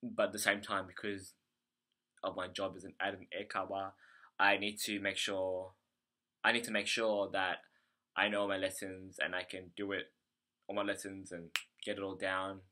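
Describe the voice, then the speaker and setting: A man's voice: deep voice, level tone, moderate pace, bright, forceful tone. An Australian man speaking in an unscripted video diary, rambling hesitantly and often correcting himself as he goes. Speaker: vlogger, English teacher abroad.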